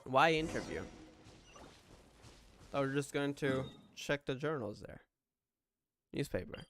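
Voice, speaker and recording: A man chats casually as he reacts to a game, in a mid-pitched voice. He drops murmured asides and chuckles, with faint music behind him. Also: slow pace. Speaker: gamer reacting to a story playthrough. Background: game dialogue and soft music.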